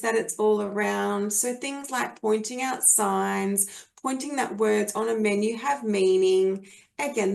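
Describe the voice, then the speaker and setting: A woman's voice: mid-range voice, creaky, darkish tone. An Australian woman presenting a webinar on early literacy for parents, explaining things in a friendly way with many fillers and restarts.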